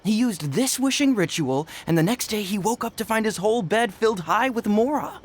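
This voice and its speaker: A man's voice: high-pitched, expressive, brisk. A chatty rumor-spreading townsman. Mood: gossipy. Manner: chatty, conspiratorial. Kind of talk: game voice acting. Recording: game audio with faint music.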